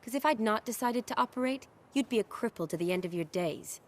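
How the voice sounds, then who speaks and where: An actress playing a confident, wry medic and scholar in a video game, speaking with a teasing, assured manner. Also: female voice, on the high side, expressive, fairly quick. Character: confident, wry female medic and academy lecturer.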